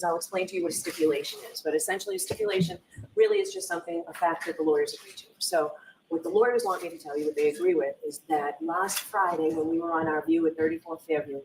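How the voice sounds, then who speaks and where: A woman's voice: lowish voice, a little hiss or hum, low-quality recording. A woman judge giving formal, explanatory instructions to a jury in court.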